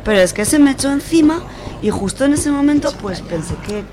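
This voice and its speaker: A woman's voice: high voice, lively intonation. A woman with an accent from Spain playing an unsure but feisty young protester in a comic radio serial. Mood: troubled, unsure. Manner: halting, colloquial. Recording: busy crowd sound behind.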